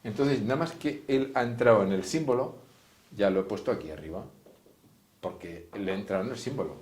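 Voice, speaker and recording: Low-voiced man teaching, echoey room. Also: animated, fairly quick, a little mellow. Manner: didactic, addressing listeners directly, with acted-out example dialogue. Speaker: therapist teaching a class. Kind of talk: informal explanatory lecture.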